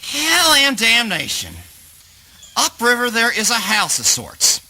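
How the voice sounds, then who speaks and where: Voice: mid-range voice, huge pitch swings, slow, sharp tone, full character voice, rough recording. An actor playing an evasive, folksy backwoods river dweller in a spoken word play, wry and cryptic in a rustic way. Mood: cryptic, ominous. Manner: folksy rustic phrasing, cagey.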